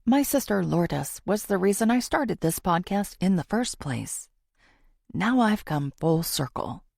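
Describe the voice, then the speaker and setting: A woman's voice: lowish voice, very animated, vocal fry, darkish tone, dry recording, clean studio sound, exaggerated voice. A woman voicing an earnest, theatrical female true-crime podcaster who confides in her listeners in an audiobook. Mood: confiding. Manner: confiding, theatrical, deliberate pauses.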